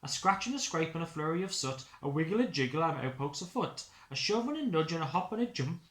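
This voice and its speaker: A male teacher with a slightly high voice reads a picture book for young children. He is expressive and explains things simply. The room is noticeably echoey. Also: fast talker, a little hiss or hum. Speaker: early years class teacher.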